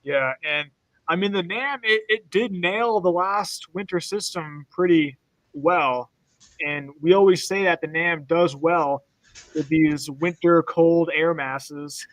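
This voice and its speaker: Bright high-voiced man, dry sound. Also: slow, no room sound, rough recording. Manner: hesitant, restarts, then walks through maps. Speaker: weather co-host. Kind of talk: explaining forecast maps.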